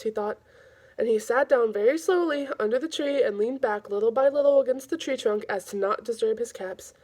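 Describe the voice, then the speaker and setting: A woman reading aloud to children in an informal, expressive storytelling style. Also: fairly high pitch.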